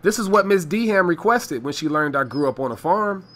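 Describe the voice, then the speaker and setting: A man's voice: on the high side, animated, fairly quick, a bit bright, slight background noise. A man playing a matter-of-fact, dismissive father in a radio play.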